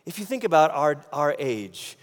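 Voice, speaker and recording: High-voiced man preaching in a reverberant hall. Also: big pitch swings, moderate pace. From Malaysia. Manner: conversational, rhetorical questions, repeats phrases for emphasis. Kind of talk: sermon.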